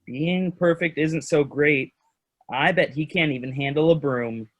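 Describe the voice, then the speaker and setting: A man's voice: on the high side, unhurried. A man playing a practical, skeptical young friend in a staged reading, dry and teasing. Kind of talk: staged reading of children's theater.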